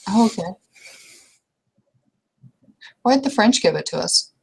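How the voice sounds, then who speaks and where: A woman playing a quiet, distracted, naively curious woman in improvised roleplay, speaking hesitantly and questioningly. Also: medium pitch, lively intonation, slow pace, gravelly, breathy edge, heavily acted. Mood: naive curiosity. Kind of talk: improvised in-character roleplay dialogue.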